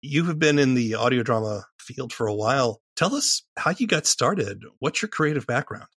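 A man's voice: mid-range voice, expressive, moderate pace, a bit bright, slightly rough. A man hosting a podcast in a scripted, presenting style as he introduces and interviews. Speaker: podcast host.

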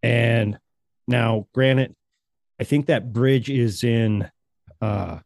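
A man's voice: medium pitch, extremely slow, vocal fry, a little mellow, dry recording. A man co-hosting a podcast in casual chat, talking in a heated, sarcastic, profane way. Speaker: podcast co-host.

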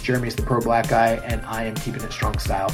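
Hoarse man hosting a wrestling podcast. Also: mid-range voice, little pitch movement, fast talker, music in the background. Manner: casual, many fillers, enthusiastic about the match. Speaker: podcast host. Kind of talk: solo wrestling podcast recap and sign-off.

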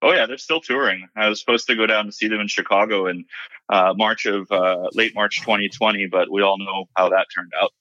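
A man who grew up in Hawaii answers podcast questions in a measured, easygoing way, letting dry humor slip in. His voice sits low but sounds bright and forward. The dry recording is a touch muffled and lo-fi. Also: steady tone, no echo. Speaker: podcast guest, self-storage appraiser. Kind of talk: casual interview answers. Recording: slightly dull lo-fi audio.

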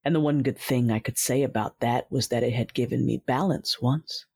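A woman playing a cold, husky Sith warrior. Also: extremely low pitch, darkish tone, dry recording, clean studio sound, full character voice. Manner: cold, measured, deliberate first-person narration. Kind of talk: first-person audio drama narration.

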